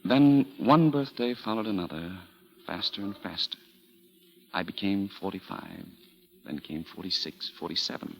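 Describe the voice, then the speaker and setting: An actor playing a suave gentleman of fifty, formal and polite, in 1940s radio drama style. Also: lowish voice, rough recording. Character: suave, courtly fifty-year-old gentleman, an aging charmer. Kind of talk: 1940s radio acting, with first-person narration. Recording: vintage 1940s radio broadcast.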